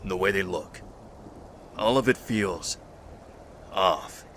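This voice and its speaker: A man acting in an audio drama as a calm, probing survivor who speaks in a measured, philosophical way. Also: deep voice, a little hiss or hum, heavily acted.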